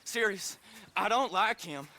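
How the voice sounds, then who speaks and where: An actor playing a frightened, evasive young American outlaw captive in a western game, nervous and pleading. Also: male voice, high-pitched, lively intonation, unhurried, bright, forceful tone, exaggerated voice. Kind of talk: cinematic western game acting.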